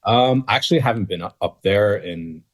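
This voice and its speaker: A young American man with a low voice is being interviewed casually. He answers in a measured, slightly hesitant way. The sound is close and dry. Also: no echo. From northeastern United States. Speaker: interview guest, former reality dating show cast member.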